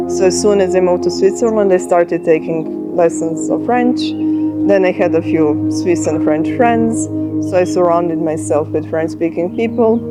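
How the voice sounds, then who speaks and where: A woman in her thirties with a Croatian accent, a software engineer speaking in a documentary interview, thoughtful with pauses and restarts. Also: fairly low pitch. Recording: music bed under the voice.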